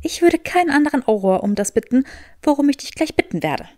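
A clever young witch in an audiobook story, acted out by a woman narrator. She is pitched high, curt and stubborn, with a snappish, exasperated edge. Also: very animated, quick pace. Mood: wry, scheming. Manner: curt, sharp-tongued.

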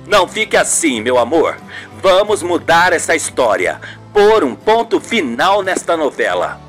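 A performer voicing a tender, devoted man in a radio soap opera, soft and affectionate with his beloved. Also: mid-range voice, sing-song, measured pace. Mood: reassuring. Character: Regis, tender devoted male lover, soothing. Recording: music behind the voice.

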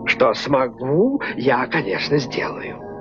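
An actor voicing a friendly, philosophical little snail in a dubbed cartoon, calming and deliberate. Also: male voice, medium pitch. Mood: calm, wry. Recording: muffled old film soundtrack with music.

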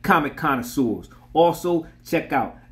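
A man's voice: a little high, expressive, unhurried, fairly bright tone. A middle-aged man hosting a comic book channel, narrating and commenting on character history.

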